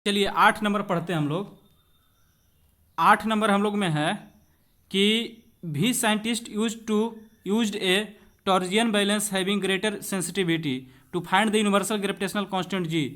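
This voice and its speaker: A high-voiced man gives an exam-prep physics lesson, working through questions step by step. He talks to students conversationally, puts rhetorical questions to them and drops English technical terms into his explanations. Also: steady tone, a little slow, fairly bright tone. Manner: switches between Hindi and English terms. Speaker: physics teacher.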